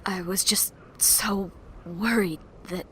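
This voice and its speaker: A woman playing a caring, anxious woman in an audio drama, with a soft, breathy, hushed delivery. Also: mid-range voice, fairly even intonation, slow pace, slight background noise. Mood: worried, tender.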